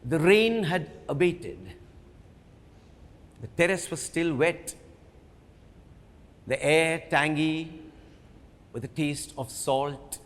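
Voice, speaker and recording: A man with an Indian accent giving a theatrical, measured dramatic reading of a biography in a hall. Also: on the high side. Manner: theatrical, measured storytelling delivery. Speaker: theatre performer reading at a book event. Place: live reading in an event hall. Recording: reverberant hall.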